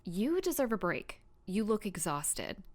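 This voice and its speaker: Woman acting a brisk, no-nonsense heroine. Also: medium pitch, very animated. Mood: blunt concern. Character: brisk, no-nonsense heroine, bossy but caring. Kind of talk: audio drama acting.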